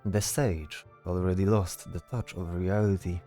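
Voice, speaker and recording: A man's voice: low-pitched, steady tone, measured pace, warm tone, soft background music. A man narrating a scripted fantasy story as the narrator of an audio drama.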